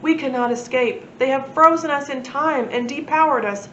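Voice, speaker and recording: A woman's voice: sits high, moderate pace, a bit bright, reverberant, lo-fi sound, a little hiss or hum, voice pushed up. A woman voicing a gloomy, moaning professor and inventor in a storybook. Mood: despairing. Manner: moaning, doom-laden. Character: gloomy professor with a nose, time-machine inventor.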